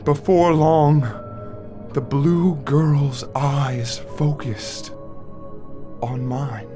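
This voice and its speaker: Slow, wistful father telling his story, acted by a man. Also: a little high, steady tone. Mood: subdued, tender. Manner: conversational, reflective, with dramatic pauses. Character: wistful father recounting a dark memory to his child. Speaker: narrator of an audio play. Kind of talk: first-person dramatic monologue, storytelling. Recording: music under the voice.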